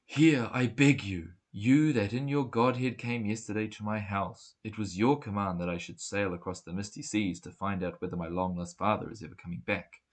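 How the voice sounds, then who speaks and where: A man's voice: fairly low pitch, normal speed, few pauses, natural voice. A man voicing a troubled young prince in an epic audiobook, speaking with solemn, formal earnestness. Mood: pleading. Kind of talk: dramatic audiobook character reading. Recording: roomy echoing home recording.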